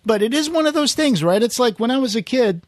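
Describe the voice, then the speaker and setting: A man's voice: very high-pitched, animated, fast, dry recording, studio quality. A man hosting a podcast in casual chat, telling stories and acting out the quotes. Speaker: podcast host.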